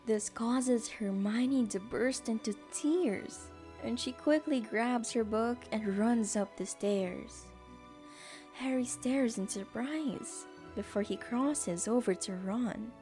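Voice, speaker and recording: A woman's voice: a little high, takes it slowly, warm tone, soft background music. A woman narrating a scripted story for an audio drama.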